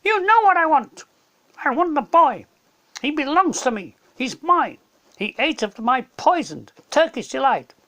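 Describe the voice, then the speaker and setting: A man's voice: very high voice, sing-song, unhurried, a bit bright, voice pushed up, exaggerated voice. A man voicing a furious, imperious witch queen in a storybook reading, commanding and possessive. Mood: demanding. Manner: commanding, demanding.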